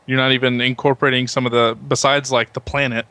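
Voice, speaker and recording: A man's voice: mid-range voice, little pitch movement, fast, bright, forceful tone, no echo. A man hosting a podcast, talking through his points in a casual group discussion and often rephrasing as he thinks aloud. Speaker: podcast host moderating a discussion.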